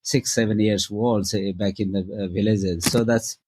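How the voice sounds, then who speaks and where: Nepali-accented man, low voice, halting. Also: steady tone, background noise. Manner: halting, many fillers and restarts. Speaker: guest, travel company founder. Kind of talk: casual interview answers.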